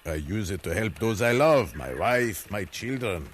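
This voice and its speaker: An actor playing a gruff, scolding slave-owning ship captain with a soft side, blustering theatrically in 1950s radio drama. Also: male voice, on the low side, expressive, unhurried. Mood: gruff, relenting. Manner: blustering, theatrical, punctuated with querying grunts. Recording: faintly noisy vintage radio recording.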